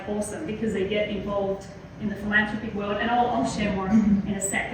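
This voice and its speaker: A woman's voice: medium pitch, distant mic, background noise. A woman with an Australian accent giving a chatty, enthusiastic keynote to a small audience, with plenty of fillers. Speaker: keynote speaker. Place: lecture hall. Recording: very echoey hall, heard from afar.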